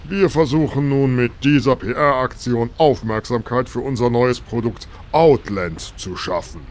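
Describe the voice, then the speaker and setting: A man's voice: medium pitch, measured pace, lightly acted. A man playing a pompous corporate demon lord. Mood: smug corporate. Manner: corporate, self-important spokesman tone. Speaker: demon lord being interviewed. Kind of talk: parody audio drama.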